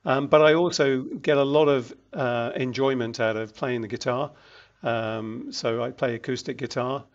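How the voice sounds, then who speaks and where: An older man with a British accent, giving a measured, casual interview as a regional executive. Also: medium pitch. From United Kingdom. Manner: measured, frequent ums and self-restarts. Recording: muffled lo-fi recording.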